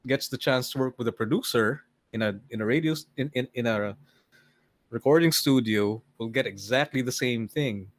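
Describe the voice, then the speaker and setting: An older man with a Filipino accent, a podcast guest talking in a deadpan way and mixing English with Tagalog in casual chat on a video call. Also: medium pitch, normal speed, dry recording. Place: home room on a video call.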